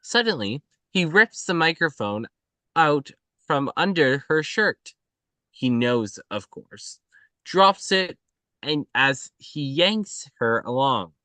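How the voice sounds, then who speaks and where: A man reading screenplay stage directions aloud in halting, clipped phrases. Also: fairly high pitch, big pitch swings, pushed and bright, dry recording.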